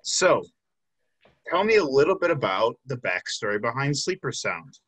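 A man's voice: medium pitch, big pitch swings, moderate pace, fairly bright tone, slightly rough, no room sound. A man in his twenties from the Chicago suburbs, hosting a casual interview with a band and rambling through lots of fillers. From south suburbs of Chicago. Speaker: podcast host.

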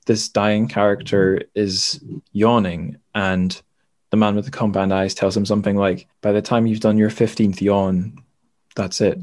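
Male podcast host, lower register, informal literary chat, halting delivery as he thinks aloud. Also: a little mellow. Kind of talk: casual book discussion.

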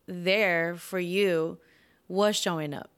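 A woman's voice: fairly low pitch, steady tone, slow pace, clean tone. A woman born in the Philippines who moved to the U.S. as a child, co-hosting a relationship podcast and talking thoughtfully with her husband. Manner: thoughtful, soft-spoken, laughs lightly. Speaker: podcast co-host. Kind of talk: casual conversation with her husband.

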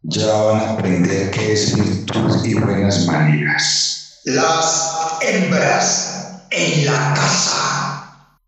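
Cruel abuser, radio drama, very slow drawl, swooping pitch, commanding, distant and echoing over music. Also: male voice, expressive, fairly bright tone, exaggerated voice. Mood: menacing. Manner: slow, drawn-out commanding delivery. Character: cruel, menacing abusive man ordering women to obey. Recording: echoey, distant, music underneath.

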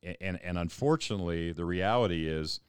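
A man's voice: deep voice, fairly quick, clear, resonant tone, no room sound, clean studio sound. A man pastor talking thoughtfully in a casual panel discussion, often repeating words as he thinks.

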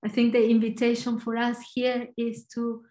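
A woman with an Argentinian accent giving a short, earnest devotional talk, reflective and pausing. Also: fairly high pitch, monotone, dark tone. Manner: earnest, reflective, slow pauses. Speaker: church speaker.

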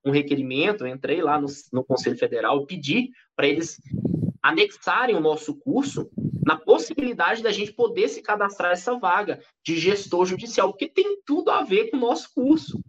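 A man in his thirties with a Brazilian accent and a somewhat raised pitch. His husky voice runs on in a chatty, animated way full of restarts. The audio sounds dull and lo-fi. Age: 30s. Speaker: interview guest. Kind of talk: casual interview talk.

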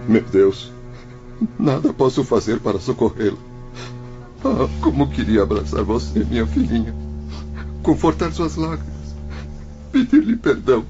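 Anguished father's ghost, wildly swinging pitch. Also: very animated, unhurried, pauses often. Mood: sorrowful. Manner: emotional, swinging from tender to commanding. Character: anguished, loving father's ghost, unheard by his family. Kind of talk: melodramatic radio drama acting. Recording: dull recording with music behind.

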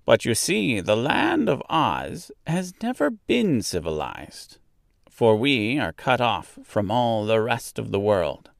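A man voicing a kindly little old woman, a good witch in a storybook, who explains things calmly and deliberately. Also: medium pitch, creaky, no room sound, clean studio sound, voice pushed up, heavily acted. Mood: patient, assured. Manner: deliberate, stretched-out, explanatory phrasing. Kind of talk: storybook character voice.

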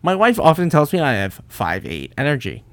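A man's voice: on the high side, huge pitch swings, moderate pace. A man chatting and joking in casual podcast banter, laughing often.

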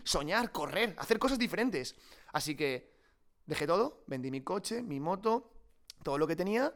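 A man with a fairly high voice and a Peninsular Spanish accent talks as a guest in an unscripted interview. He tells his stories in an informal, colloquial way. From Spain. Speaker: podcast guest, startup co-founder. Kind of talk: improvised conversational interview.

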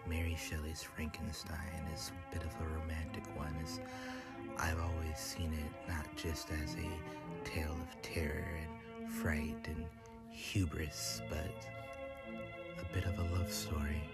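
A man giving a measured, solemn reading in the style of a gothic audiobook. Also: very deep voice, completely flat delivery, gravelly, loud music behind the voice. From United States, Louisiana. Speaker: guest reader, horror writer.

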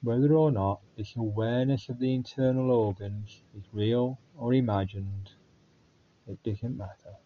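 A man guiding a body scan meditation in a calm, unhurried way with long pauses. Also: medium pitch, narrow, tinny audio. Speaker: meditation guide.

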